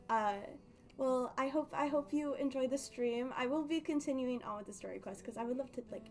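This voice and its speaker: Girl streamer w a highish voice, nervous n giggly, rambles casually while gaming. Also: normal speed, darkish tone. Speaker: livestreamer. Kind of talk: casual game stream chat.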